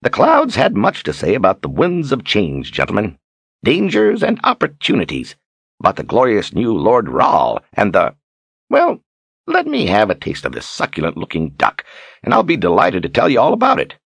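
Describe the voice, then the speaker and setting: A man's voice: fairly low pitch, huge pitch swings, normal speed, creaky, fairly bright tone, breathy edge, no echo, pitched above the performer's own voice, full character voice. A man voicing a boastful, sly old wizard who talks in a folksy, grandiose way, as in an audiobook. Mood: showy, delighted. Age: older. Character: theatrical, boastful, sly old wizard posing as fortune-teller. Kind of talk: audiobook character acting.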